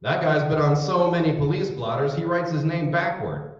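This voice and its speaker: An actor playing a folksy, wisecracking husband working as a poll clerk in an old-time radio comedy. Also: male voice, fairly high pitch, moderate pace, noisy background, natural voice. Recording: echoey room, distant mic, dull sound.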